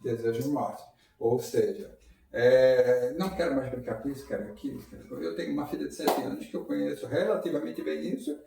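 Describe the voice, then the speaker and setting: A man giving a relaxed public talk at a spiritist center, chatting with the audience and tossing them rhetorical questions. His voice sits at an ordinary middle pitch. It is caught from a distance in a strongly echoing hall. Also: a little fast, creaky, a little hiss or hum. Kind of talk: informal public lecture. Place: lecture hall. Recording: echoey hall, mic far off.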